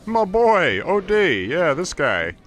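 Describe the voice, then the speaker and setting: A man's voice: sits high, huge pitch swings, slow pace, a bit bright, slight background noise. A man bantering casually and playfully as co-commentator on a video game playthrough. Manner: joking, playful. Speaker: let's play co-commentator. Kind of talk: casual banter over gameplay. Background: video game music.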